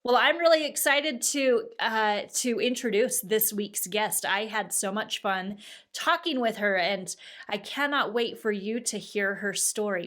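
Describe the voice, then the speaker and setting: A woman's voice: medium pitch, a bit bright. A woman co-hosting a podcast, encouraging her co-host and introducing the week's guest with enthusiasm. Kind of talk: casual chat and show introduction.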